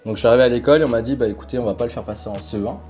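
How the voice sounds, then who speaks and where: A man's voice: medium pitch, racing, faint music behind. A young man from Portugal, a native Portuguese speaker, telling stories casually as an interview guest with lots of fillers. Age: young adult. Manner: relaxed, animated storytelling with frequent fillers. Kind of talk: casual conversational storytelling.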